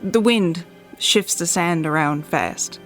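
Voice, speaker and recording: Uneasy, careful woman witness, horror audio drama: measured, slow delivery, lowish pitch. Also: soft background music. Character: cautious, uneasy woman driver giving her account. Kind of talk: first-person horror audio drama monologue.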